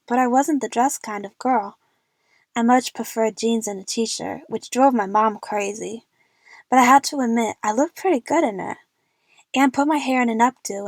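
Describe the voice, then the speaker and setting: A woman's voice: fairly high pitch, no room sound. A woman narrating a first-person story as the lead of an audio drama.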